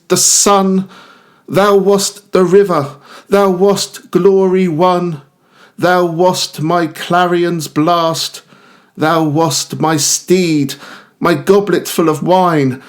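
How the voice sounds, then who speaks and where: Man voicing a slow, ardent lovestruck youth. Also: on the high side. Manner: ardent, declaimed. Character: lovestruck young man rapturously addressing the moon. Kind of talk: poetic monologue in verse recital.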